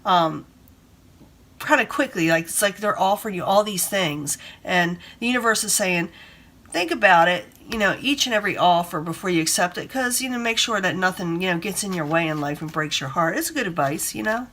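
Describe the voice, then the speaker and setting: Hoarse woman with a bright voice. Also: on the low side, lively intonation, a little fast, slight background noise. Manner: rambling, repeats herself, gives advice. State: hoarse from a cold, breathing hard. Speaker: tarot reader. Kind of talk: casual tarot reading.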